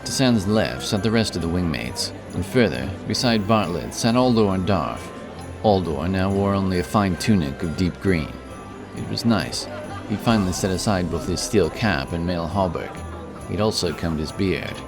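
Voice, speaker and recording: A man's voice: deep voice, animated, normal speed. A man narrating a scripted fantasy story. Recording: narration over prominent music. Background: music.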